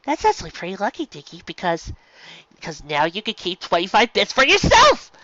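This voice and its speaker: A narrator voicing a brash, energetic young tomboy filly pony with a pushy, childlike way of talking in a storybook reading. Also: medium pitch, a little fast, dry recording, muffled recording, pitched above the performer's own voice. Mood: brash, eager.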